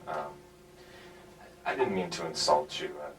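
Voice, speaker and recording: An actor playing a shy, gentle man who speaks softly and hesitantly, full of pauses, recorded from a distance. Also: rumbling low, echoey room, slight background noise. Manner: soft-spoken, hesitant, awkward. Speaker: neighbor invited to dinner. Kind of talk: film dialogue. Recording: distant mic.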